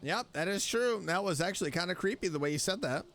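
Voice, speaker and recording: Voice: mid-range voice, lively intonation, fast, fairly bright tone, slight background noise. A streamer giving casual, playful, joking reactions while commentating on a video game. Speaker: VTuber streamer.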